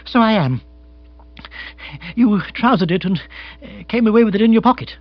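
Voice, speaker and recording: A man's voice: high voice, normal speed, dry recording, dull audio, pitched above the performer's own voice. A man voicing an agitated, petulant young English lord in a comic storybook, flustered and exclamatory in upper-class slang. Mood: indignant, flustered.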